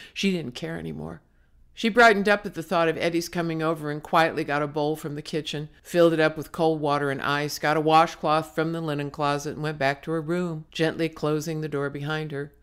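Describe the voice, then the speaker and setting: A woman, an author reading her own novel, narrating expressively and slipping into her characters' thoughts with some drama. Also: low-pitched.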